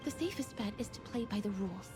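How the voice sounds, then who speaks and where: A woman playing a composed, thoughtful young companion in a video game, speaking in a measured, earnest and articulate way. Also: mid-range voice, animated, over music, natural voice. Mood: calm, thoughtful. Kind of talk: video game character dialogue.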